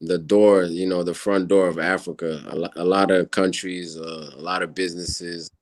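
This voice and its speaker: A man's voice: low-pitched, normal speed, no room sound. A man in his thirties from Senegal, a native Wolof speaker, chatting casually as an interview guest with many fillers. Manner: relaxed, rambling with many fillers. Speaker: guest musician.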